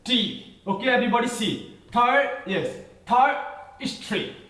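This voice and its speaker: A man's voice: very high-pitched, lively intonation, unhurried, a bit bright, roomy sound, poor audio. A man with a Nepali accent teaching English in an online class, spelling words out letter by letter and checking again and again that students follow. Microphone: video call.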